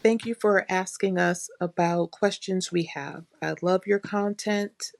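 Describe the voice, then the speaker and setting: A woman leaving a polite, measured voicemail message as a listener. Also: fairly low pitch, steady tone, gravelly.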